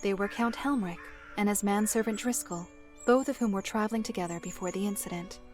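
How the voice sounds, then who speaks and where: A woman playing a reserved, composed female storyteller in a fantasy audio drama, speaking in a measured, formal, precise way with a dry, stern edge. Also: mid-range voice, a little mellow, faint music behind, close to an everyday voice. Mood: solemn storytelling. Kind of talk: fantasy visual novel audio drama acting.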